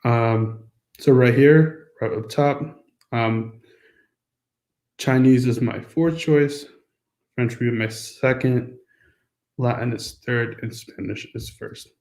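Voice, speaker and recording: A man's voice: mid-range voice, takes it slowly, a little mellow, roomy sound. A man on a school's staff, with an American accent, walking through a course registration form in a casual, explanatory way with many fillers. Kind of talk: screen-recorded walkthrough.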